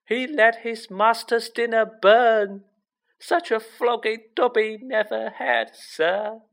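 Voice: medium pitch, fairly even intonation, slow, fairly bright tone, pitched above the performer's own voice. A storybook reader voicing a small, miserable, servile male house elf who pleads and refers to himself in the third person. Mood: sorrowful, earnest.

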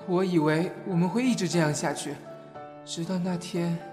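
A shy, lovestruck young man, played by a male actor in a romantic audio drama. He speaks softly and hesitantly, with earnest insecurity, over background music. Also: high voice, fairly even intonation, moderate pace, natural voice. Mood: happy, dreamy. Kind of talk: Chinese audio drama acting with inner narration. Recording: music behind.

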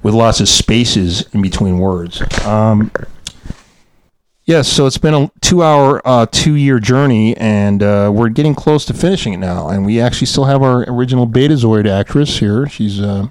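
A man's voice: on the low side, animated, moderate pace, no echo, low-quality recording. A man hosting a casual, rambling podcast after-show, hesitantly searching for words and poking fun at himself. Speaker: podcast host, audio drama producer.

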